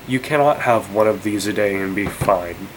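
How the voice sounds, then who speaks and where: A man's voice: fairly low pitch, moderate pace, a little hiss or hum, poor audio. A congested young man vlogging, rambling and incredulous, with many fillers and restarts. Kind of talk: casual rant to camera.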